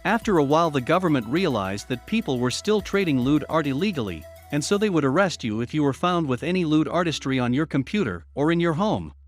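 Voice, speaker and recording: Man reading with a lively, swinging pitch. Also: brisk, few pauses, faint music behind. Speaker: reader. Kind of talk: reading a dream story aloud.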